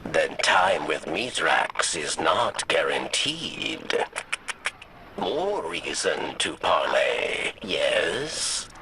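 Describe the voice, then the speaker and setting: Old alien game character: sly and regretful, very slow halting rasp, extremely low, noise behind. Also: male voice, very animated, exaggerated voice. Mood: formal urging. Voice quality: gravelly, rasping. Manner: halting, third-person speech, questioning "yes?" tags. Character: old insect-like alien scribe Variks, sly, weary, regretful. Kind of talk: dramatic video game acting.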